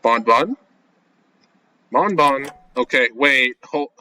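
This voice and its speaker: Bright-voiced young man doing a let's play. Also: mid-range voice, animated, slow, poor audio. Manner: slangy, jumpy, talking to himself. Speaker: gaming video creator. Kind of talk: casual let's play, reading visual novel text aloud and reacting.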